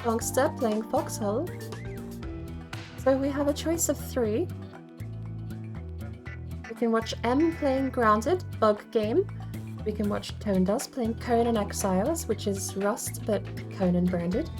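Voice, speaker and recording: A woman with a fairly high voice chats casually as she streams a game. She rambles and thinks aloud, talking to viewers over faint background music. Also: slow pace, pauses often. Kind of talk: casual livestream game commentary.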